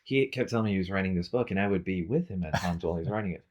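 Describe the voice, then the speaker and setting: A man's voice: deep voice, rapid-fire, darkish tone. A man co-hosting a podcast in casual conversation, telling anecdotes with quoted exchanges and easy swearing. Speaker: podcast co-host.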